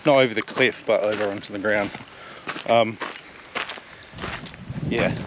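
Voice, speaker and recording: Cheery guy talking to his action cam on a mountain hike, throat a bit rough, bit of wind hiss. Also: mid-range voice. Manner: chatty, upbeat, laughs at himself. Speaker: hiking vlogger. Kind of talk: casual vlog to camera. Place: outdoors on a mountain trail. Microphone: action camera at arm's length. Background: open air.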